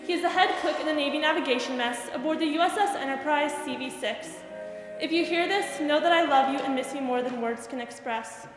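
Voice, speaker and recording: A woman's voice: high voice, brisk, close to an everyday voice. A teenage girl playing an adoring young fiancee in a 1940s style radio play. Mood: affectionate. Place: theater stage. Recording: very echoey hall, music behind.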